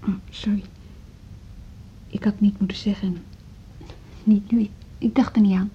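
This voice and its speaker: An actress playing a hesitant, apologetic woman in an intimate radio drama, with a soft, husky, halting voice. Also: mid-range voice, steady tone. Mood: subdued, apologetic. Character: hesitant, apologetic pregnant woman, a music school co-director. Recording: dull lo-fi radio drama recording.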